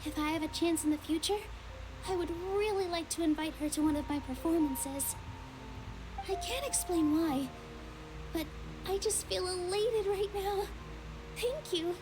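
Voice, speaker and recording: A woman voicing a sweet, gracious young dancer in a video game, polite, gentle and earnest. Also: very high-pitched, measured pace, pauses often, music in the background, rough recording. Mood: touched, elated.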